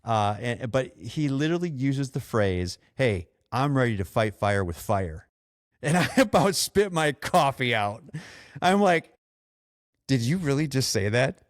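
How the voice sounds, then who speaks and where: A man's voice: medium pitch, sing-song, measured pace, no echo, clean studio sound. An American man hosting a podcast, giving a casual, opinionated rant in a blunt, exasperated manner that includes swearing. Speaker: podcast host.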